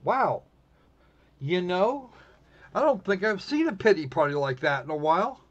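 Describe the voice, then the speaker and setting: A man hosting a livestream, giving casual, snarky commentary on a game with halting pauses. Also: high voice, big pitch swings. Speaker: livestream host. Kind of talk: casual live commentary on a visual novel. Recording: dull lo-fi microphone sound.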